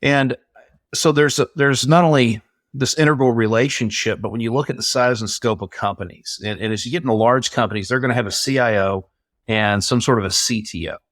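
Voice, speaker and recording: A man's voice: mid-range voice, brisk, fairly bright tone, dry recording, studio quality. A man hosting an interview, asking long, rambling conversational questions. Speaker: podcast host. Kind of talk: casual interview.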